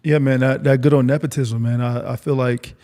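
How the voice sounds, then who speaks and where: A man's voice: medium pitch, little pitch movement, a little fast, dark tone. A man hosting a podcast in a casual, conversational interview style. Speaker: podcast host.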